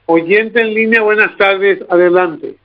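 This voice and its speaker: A man's voice: sits high, fairly even intonation, slow, music in the background. A man with a Paraguayan accent hosting an informal radio talk show, repeating himself as he goes. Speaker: radio host. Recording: narrow, tinny radio broadcast sound.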